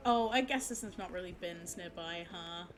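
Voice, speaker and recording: A young woman streamer chatting casually with viewers, giggling and teasing herself, her voice a little low for a woman and her throat sore. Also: moderate pace. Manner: chatty, giggly, self-mocking. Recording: music playing behind the voice.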